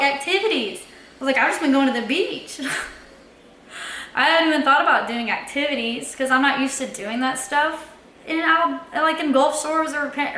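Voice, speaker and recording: A young woman tells a story from her own life, high-pitched and bright-toned. She rambles in an easy, animated way and slips into her past self's words. The room is echoey, with a faint hum of background noise. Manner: chatty, animated, lots of "like", acts out her own past lines. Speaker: vlogger telling a personal story. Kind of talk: casual storytime.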